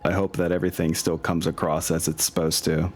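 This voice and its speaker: A man with a deep voice acts as game master in casual chat. He talks informally, with slangy turns of phrase and an apologetic streak, as he gets things set up. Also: flat delivery, brisk, soft background music. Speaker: game master and host of a tabletop campaign. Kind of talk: casual chat while setting up an online game. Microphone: online voice chat.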